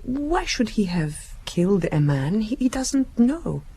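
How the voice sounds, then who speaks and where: A woman's voice: mid-range voice, big pitch swings, slow, mellow, breathy edge, heavily acted. An actress in a radio drama playing a lonely, coquettish young woman of a wealthy family, refined, wary and hesitant. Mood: brooding, guarded.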